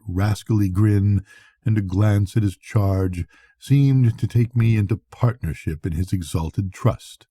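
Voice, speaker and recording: Older male reader, deep mellow tone, husky, measured story narration, dramatic pauses. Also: no room sound, clean studio sound. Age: older, a grandfather. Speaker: audiobook reader. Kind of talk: literary bedtime story narration.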